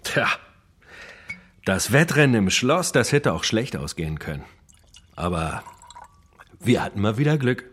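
A man's voice: lowish voice, very animated, a little slow, long pauses, fairly bright tone. An actor playing a boastful, wry gang burglar turned writer, telling his exploits in a dramatic radio play monologue. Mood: proud, nostalgic.

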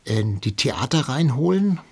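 A man's voice: mid-range voice, sing-song, a little slow, creaky, darkish tone, breathy edge. A man in a radio studio explaining history as an expert interview guest, speaking freely.

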